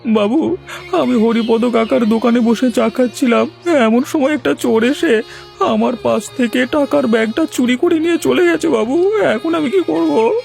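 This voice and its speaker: A man voicing a timid, poor village errand man in a cartoon, creaky and plaintive as he pleads and laments. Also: very high voice, normal speed, a little mellow, soft background music, exaggerated voice. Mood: pleading. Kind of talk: cartoon voice acting.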